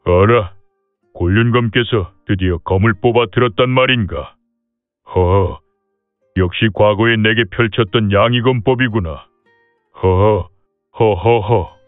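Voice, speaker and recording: A man's voice: fairly low pitch, unhurried, dry recording, pitched below the performer's own voice, lightly acted. A man voicing an old, shrewd Buddhist temple abbot who mutters and chuckles while watching a duel in an audio drama. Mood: marveling. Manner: chuckling "heh heh", muttering asides. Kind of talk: audio drama character acting. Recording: tinny phone-like dry sound, faint music.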